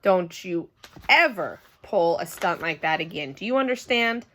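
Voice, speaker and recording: A woman reads the part of a storybook dad. He is firm and admonishing yet reassuring, and keeps his words hushed and controlled. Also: lowish voice, lively intonation, unhurried. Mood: stern. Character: stern but reassuring father of young girl. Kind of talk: children's storybook character voice.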